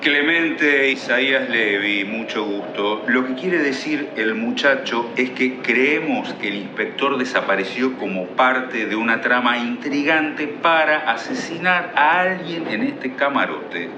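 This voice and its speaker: A man's voice: medium pitch, animated, a little slow, hardly any pauses, close to an everyday voice. An actor with an Argentine accent playing a courteous, indulgent father in a radio play, speaking in a wordy, mannered way. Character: courteous, indulgent father, a talkative story-minded gentleman. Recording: roomy, muffled, noisy recording.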